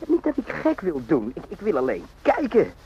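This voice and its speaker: Chatty randy young army lad, jokey rambling, voice swoops around, lo-fi old radio drama. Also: male voice, fairly high pitch, wildly swooping pitch, moderate pace, breathy edge, exaggerated voice. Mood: jovial. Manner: rambling, jokey, eager, confiding. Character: chatty, naive, randy young army soldier, former circus hand. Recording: muffled lo-fi old radio recording.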